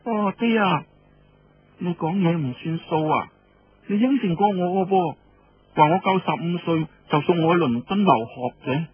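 A man's voice: sits high, normal speed, slightly rough, pitched above the performer's own voice. A man voicing an eager, headstrong teenage son of a rebel king in an audio story, pleading and arguing. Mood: indignant pleading. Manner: pleading, argumentative. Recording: dull lo-fi audio, faint noise.

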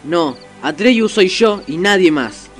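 A man's voice: high-pitched, animated, slow. An actor playing a proud, earnest young boy hunter in a fantasy radio drama. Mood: indignant pride. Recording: radio play with faint music.

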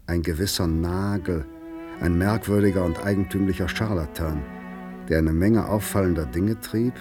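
An actor narrating a literary mystery tale in a 1950s radio play, measured and deliberate. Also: male voice, low voice. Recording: 1959 radio studio recording.

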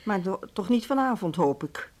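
Een actrice speelt een beleefde maar besliste medewerkster van een muziekschool in een hoorspel, afgemeten sprekend. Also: female voice, mid-range voice, animated, darkish tone, natural voice. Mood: mildly impatient. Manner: measured, polite but firm. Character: Gerda, firm, formally polite woman music-school staffer. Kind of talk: Dutch radio drama acting.

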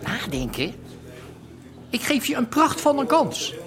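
An actor playing a menacing, mocking middle-aged gangster in a radio drama, needling and casually threatening. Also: male voice, very high voice, very animated, a little slow, full character voice. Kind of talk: naturalistic radio drama acting.

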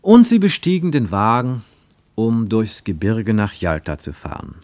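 A man narrating a radio drama in a measured, deliberate storytelling manner, recorded in a studio. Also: on the low side, wildly swooping pitch, darkish tone, dry recording. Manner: measured, deliberate storytelling with slowed emphatic phrases.

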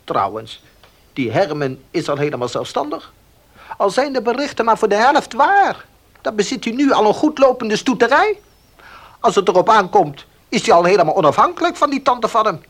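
A man acting in a Dutch radio play as a scheming, bossy village baker, insinuating and gruff in his deliberate talk. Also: high voice, very animated, fairly bright tone, full character voice. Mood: guarded, dismissive.

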